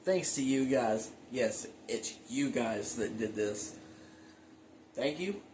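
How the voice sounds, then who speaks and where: A man hosting a casual home show-and-tell video, speaking informally and a little haltingly with enthusiastic asides. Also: mid-range voice, expressive, background noise. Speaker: hobbyist video creator and host. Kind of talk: casual show-and-tell narration of a radio collection. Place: indoors at home.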